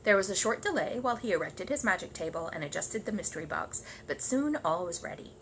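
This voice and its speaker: A woman reading a children's story aloud in animated storybook narration. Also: medium pitch, fast talker, a little hiss or hum, rough recording.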